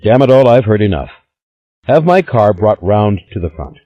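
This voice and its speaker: A man's voice: lowish voice, sing-song, normal speed, voice pushed up. A man voicing an ill old patriarch, proud and commanding, in an audiobook. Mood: imperious. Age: older. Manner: imperious, commanding. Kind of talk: audiobook character voice. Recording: slightly dull lo-fi recording.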